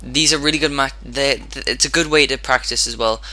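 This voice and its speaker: A man with a hoarse, sore-throated voice giving casual commentary over his own gameplay, rambling and often restarting his sentences. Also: medium pitch, a little fast, bright, forceful tone, a little hiss or hum. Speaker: gaming commentator.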